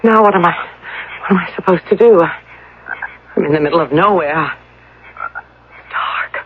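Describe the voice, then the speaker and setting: An actress playing an anxious, polite young stranded traveler in a radio drama, stammering and breathless in a theatrical way. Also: female voice, on the low side, lively intonation, measured pace, vocal fry. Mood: dazed, distressed. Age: young adult. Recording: muffled, hissy old radio recording.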